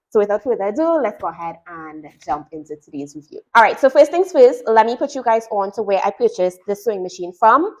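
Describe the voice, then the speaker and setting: A woman's voice: mid-range voice, darkish tone, low-quality recording. A woman with a Trinidadian accent, a sewing teacher chatting through an unboxing and explaining each part step by step. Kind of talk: product unboxing and tutorial.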